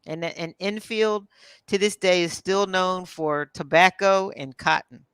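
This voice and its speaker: A woman from Philadelphia chatting casually as a co-host, relaxed and chatty. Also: lowish voice, smooth, no echo. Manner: relaxed, chatty, drawn-out fillers. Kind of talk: casual chat.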